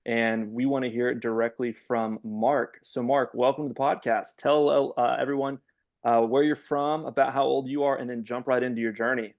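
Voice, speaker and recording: A man's voice: medium pitch, fairly even intonation, normal speed. Guy hosting a podcast, medium voice, chatty, lots of ums. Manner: friendly, chatty, lots of fillers. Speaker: podcast co-host.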